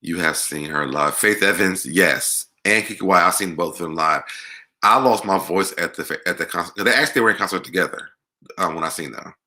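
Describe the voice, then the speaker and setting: Deep, very forceful American man. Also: a little fast. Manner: conversational, emphatic, repeats words for emphasis. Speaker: online show host. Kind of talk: casual live Q&A chat about music.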